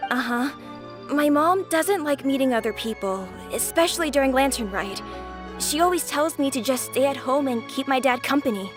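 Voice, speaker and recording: A woman's voice: high voice, animated, moderate pace, over music. A woman voicing an earnest, worried young boy in a video game, explaining himself carefully. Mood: polite, curious. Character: earnest young boy worried about his lost father.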